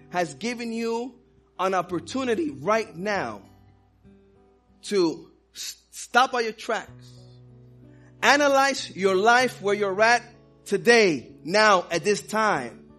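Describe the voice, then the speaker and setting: Pastor, middle-aged man, from the Dominican Republic, high-pitched, fervent preaching, faint guitar underneath. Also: expressive, takes it slowly, pauses often, pushed and bright. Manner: impassioned preaching with personal stories. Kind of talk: sermon.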